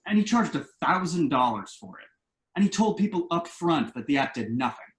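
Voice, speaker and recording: An actor playing an earnest, anxious adult son in a conversational comedy play reading, explaining things in an echoey room. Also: male voice, fairly high pitch, big pitch swings, brisk. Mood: anxious, pleading. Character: earnest adult son, anxious and quirky about house rules.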